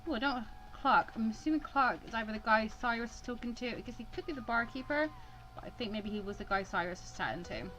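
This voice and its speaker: A woman's voice: on the high side, fast talker, pushed and bright, soft background music, rough recording. A woman giving casual let's-play commentary, chatty and often breaking off into asides. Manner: chatty, self-interrupting asides. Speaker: gaming video host. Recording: clear background noise.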